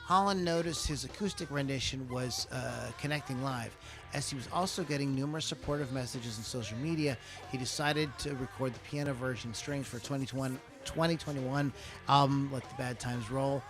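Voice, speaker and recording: A man's voice: medium pitch, brisk, music in the background. A man hosting a casual talk show, rambling loosely with lots of fillers, restarts and the odd curse. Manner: loose and profane. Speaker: podcast host. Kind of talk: casual chat about songs.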